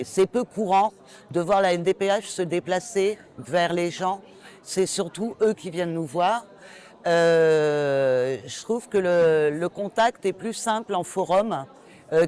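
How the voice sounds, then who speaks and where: A man's voice: high-pitched, darkish tone. A man working in public service, giving an unscripted interview and often repeating his phrases. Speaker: public service staff member.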